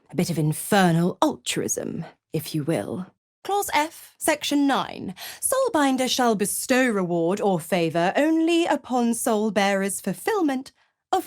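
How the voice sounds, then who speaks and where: A woman playing a seductive, scheming half-devil in a video game, smug and condescending, with a silky, theatrical delivery and mock sweetness. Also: mid-range voice. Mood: smug, taunting, then formal, menacing. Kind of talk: scripted video game character acting.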